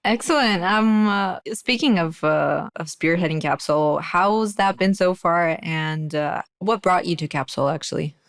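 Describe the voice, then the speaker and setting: A woman's voice: on the low side, unhurried, hardly any pauses, dry recording, clean studio sound. A woman hosting an interview podcast, reading a friendly scripted introduction and asking questions. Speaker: podcast host.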